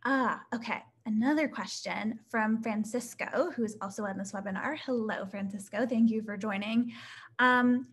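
A woman's voice: fairly high pitch, normal speed, slightly rough. Upbeat young woman hosting a webinar. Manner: upbeat, many fillers like "um" and "like". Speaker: webinar host reading audience questions. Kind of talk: casual live Q&A webinar. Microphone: laptop webcam mic on a video call.

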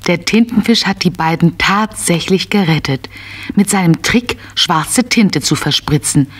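A woman narrating a scripted children's audio story in an expressive storytelling manner. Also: fairly low pitch, measured pace, husky.

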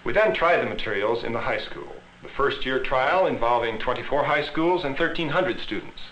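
A man's voice: mid-range voice, lively intonation, normal speed, a bit bright. An American man in his thirties presenting an educational film in a scripted narrating style. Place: classroom laboratory film set. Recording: muffled, hissy 1960s film soundtrack.

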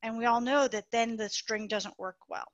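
A woman doctor giving a webinar lecture on the voice, explaining clearly with plain comparisons over a video call. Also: on the high side, steady tone, moderate pace, dry recording, lo-fi sound. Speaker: voice doctor presenting.

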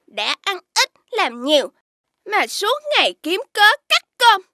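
A woman playing a crafty, cheeky peasant boy in an animated fairy tale, pert and argumentative. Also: extremely high pitch, unhurried, full character voice. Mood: aggrieved, cheeky.

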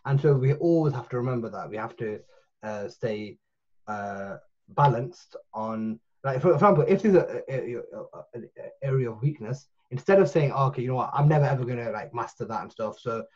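A man's voice: mid-range voice, brisk, darkish tone. A man, chatting as a podcast guest over a video call, circling back with many restarts and fillers. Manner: rambling, full of restarts and fillers. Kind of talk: casual podcast chat. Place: small room at home. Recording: muffled video call audio.

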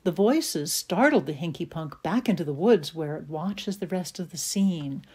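Female narrator, slightly low register, scripted atmospheric storytelling for an audio play. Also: expressive, moderate pace, darkish tone, husky.

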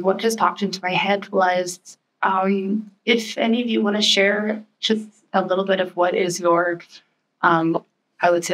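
Gentle, low-voiced woman moderator. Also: rough recording. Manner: gentle, hesitant, many ums. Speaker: panel moderator. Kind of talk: asking the panel a question.

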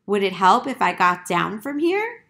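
A woman voicing an excitable, cheeky green duck in a children's storybook. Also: medium pitch, measured pace, creaky, exaggerated voice. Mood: eager. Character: excitable green dancing duck, a little wild.

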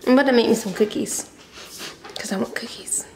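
A woman's voice: a little high, a little fast, in a whisper. A young woman casually vlogging at home, chatty and playful, muttering asides to herself into a camera held close. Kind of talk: casual vlog. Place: home kitchen and living room. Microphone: handheld camera held close.

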